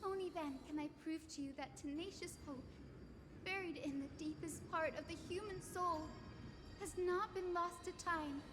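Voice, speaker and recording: A woman voicing a young, gentle heroine in a game, speaking with tender, earnest resolve. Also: very high-pitched, moderate pace, background noise. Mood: earnest, hopeful. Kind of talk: dramatic scripted game dialogue. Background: game music.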